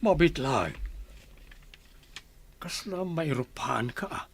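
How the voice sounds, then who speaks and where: A man's voice: fairly high pitch, expressive, slow, creaky, slightly rough, full character voice. A man playing a kindly, joking middle-aged village uncle in an Ilocano radio drama, speaking in a folksy, conversational way. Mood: teasing. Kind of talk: Filipino radio comedy-drama acting.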